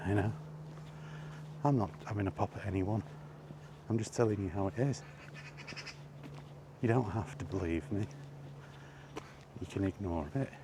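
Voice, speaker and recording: A man's voice: fairly low pitch, a little slow, long pauses, noisy background. Blunt man ranting outdoors. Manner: blunt, emphatic, lecturing. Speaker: gaming vlogger. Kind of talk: opinion rant while walking. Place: outdoors by allotments.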